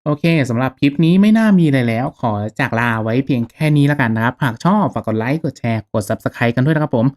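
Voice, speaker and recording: A man hosting a software tutorial in an informal, polite, step-by-step way, checking in with listeners. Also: mid-range voice, fast, hardly any pauses. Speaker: YouTube tutorial host, gaming channel streamer.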